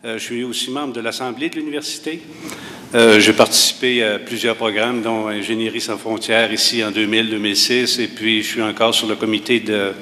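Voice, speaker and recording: Male candidate, bright tense voice, measured and hesitant, in a reverberant hall. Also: on the low side, fairly even intonation. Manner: measured, hesitant, many filler pauses. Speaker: municipal candidate. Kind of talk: debate opening statement. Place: university hall. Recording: reverberant hall with faint noise.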